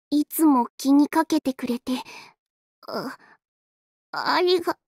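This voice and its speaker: A shy young female android fighter from an anime game. The voice is high and unhurried, recorded very dry. The delivery is anxious and earnest, with timid, stammering hesitations. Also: darkish tone, no echo, heavily acted. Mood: flustered, bashful. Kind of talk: Japanese anime game voice lines.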